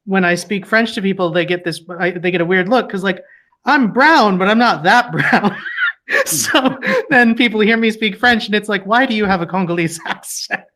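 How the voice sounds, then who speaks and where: A woman's voice: mid-range voice, normal speed, a bit bright. Giggly woman telling a story. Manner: rambling with restarts, giggly. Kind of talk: casual live audio chat.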